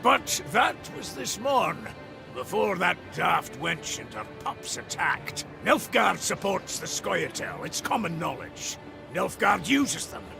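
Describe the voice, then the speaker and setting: Very gravelly old Scottish dwarf elder. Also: male voice, sits high, very animated, takes it slowly, over music, heavily acted. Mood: sly, gruff. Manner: blunt, folksy, bossy. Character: gruff, stubborn old dwarf elder of a mountain clan. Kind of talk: dramatic video game voice acting.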